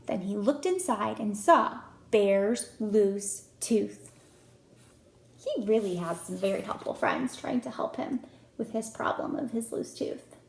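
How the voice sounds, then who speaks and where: A woman's voice: mid-range voice, slow pace, vocal fry. A woman reading a storybook aloud to young children as a teacher, expressively, with chatty asides and questions. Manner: expressive, child-directed, asking questions and commenting. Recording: echoey room with faint noise.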